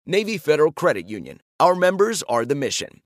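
Brisk higher-voiced male sponsor read. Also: sing-song, no echo, studio quality. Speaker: ad reader. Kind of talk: scripted ad read.

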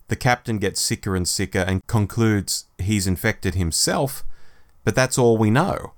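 A man co-hosting a podcast, talking casually as he compares and discusses stories. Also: fairly low pitch. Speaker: podcast co-host. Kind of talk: casual book review discussion.

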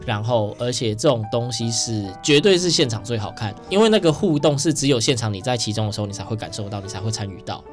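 A man's voice: medium pitch, lively intonation, quick pace, hardly any pauses, faint music behind. Chatty Taiwanese man hosting a radio chat. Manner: chatty, often seeks agreement with tag questions. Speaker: radio show host. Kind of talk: casual interview chat.

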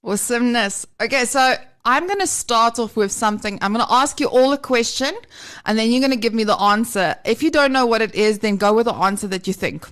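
A woman's voice: a little high, animated, fairly quick, a bit bright. A woman hosting a podcast, explaining an idea in an upbeat, conversational way. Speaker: podcast host. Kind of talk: casual podcast chat.

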